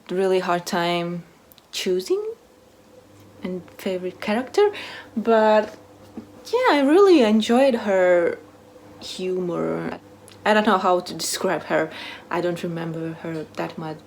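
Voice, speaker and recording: A woman with a fairly low voice chats casually as a book vlogger. She speaks slowly and haltingly, often letting phrases trail off softly, with some background noise in the recording. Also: creaky. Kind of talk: casual chat to camera, answering a book tag.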